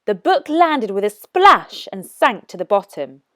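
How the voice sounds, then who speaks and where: A woman reading aloud as a children's storytime narrator, with expressive, careful and deliberate phrasing. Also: medium pitch, no room sound, studio quality. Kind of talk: scripted storybook read-aloud for young children.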